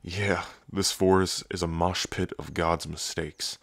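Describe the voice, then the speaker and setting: A gruff male werewolf boyfriend, acted by a man for an ASMR roleplay. His voice is deep and very close and dry. He speaks slowly, brooding and guarded, protective underneath a hot temper. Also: fairly even intonation, a bit bright, no room sound, heavily acted. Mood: guarded, weary.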